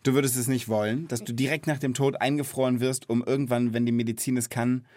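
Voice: medium pitch, fairly even intonation, fast talker, a little mellow, dry recording. A host chatting casually on a children's podcast, explaining things simply and asking follow-up questions.

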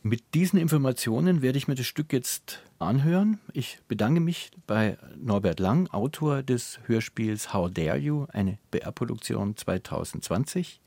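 A man's voice: medium pitch, expressive, unhurried, gravelly, hardly any pauses, mellow, no echo. A man hosting a radio interview in a polite, formal manner.

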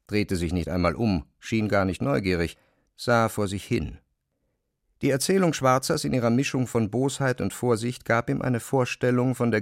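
A man narrates literary prose in a lowish voice with a clear creak in it. The sound is close and very dry, as from a studio. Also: dry recording.

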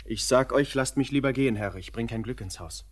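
An actor playing a curt, gloomy young drifter in a classic radio play. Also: male voice, mid-range voice, a little fast, close to an everyday voice. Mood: sullen. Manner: curt, sullen.

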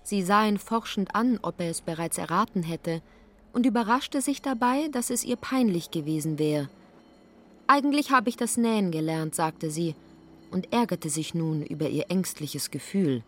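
A woman's voice: fairly low pitch, expressive, moderate pace. A woman reading literary narration expressively, slipping into characters' lines. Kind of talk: scripted literary narration for radio.

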